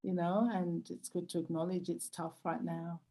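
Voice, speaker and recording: A woman in her fifties hosting a book club, speaking in a gentle, encouraging, optimistic way. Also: fairly low pitch, level tone, normal speed, slight background noise. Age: 50s. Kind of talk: supportive group discussion.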